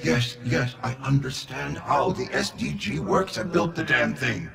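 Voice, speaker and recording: Gravelly, theatrical eccentric scientist. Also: male voice, medium pitch, animated, measured pace, over loud music. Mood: weary, wry. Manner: theatrical, chuckling, wry, addresses players as friends. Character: eccentric middle-aged male scientist, jovially urgent, darkly wry. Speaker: video game character giving mission orders. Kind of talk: video game voice acting.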